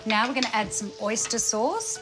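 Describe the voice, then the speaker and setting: A woman's voice: a little high, lively intonation, moderate pace. A woman hosting a cooking show, presenting in an enthusiastic, informal and chatty way. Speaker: host, cook. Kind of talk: upbeat cooking show presenting and casual chat. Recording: background music under the voice.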